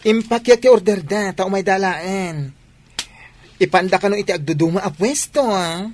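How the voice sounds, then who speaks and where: An actor playing a protective, doting young husband in a family drama. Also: male voice, sits high, moderate pace, a little hiss or hum, lightly acted. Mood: protective, tender.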